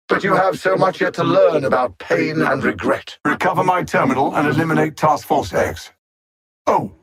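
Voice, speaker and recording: Alien machine overlord, husky edge, widely swinging pitch, precise, condescending, cold menace, video game villain. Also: male voice, fairly bright tone, full character voice. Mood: condescending, clinical, then imperious, defiant. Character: cold, haughty alien machine intellect, a male conquering supervillain.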